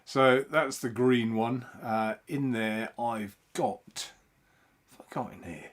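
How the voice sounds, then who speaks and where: A man's voice: mid-range voice, takes it slowly. A man hosting a casual live stream from home, chatting in a rambling way and greeting viewers by name.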